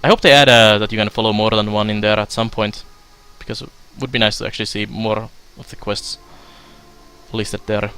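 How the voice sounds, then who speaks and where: A man's voice: fairly low pitch, fairly quick, a little hiss or hum. A young man from Finland with a Finnish accent, casually commenting on a strategy game he plays while answering viewers' chat. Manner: relaxed and chatty, many fillers, laughs often. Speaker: streamer. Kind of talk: let's play commentary while reading chat.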